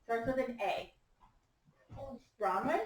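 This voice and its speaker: A woman teacher reading a picture book aloud to young children, patiently prompting them with questions and gentle instructions. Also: fairly high pitch, expressive, takes it slowly. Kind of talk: interactive picture-book read-aloud to a class. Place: echoey indoor room with young children. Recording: echoey room, distant microphone.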